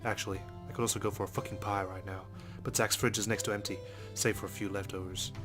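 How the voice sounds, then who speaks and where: A man reads visual novel narration aloud as a let's play host, dropping in casual asides. His voice is low and flat in pitch, and he moves fast, with guitar music clearly behind him.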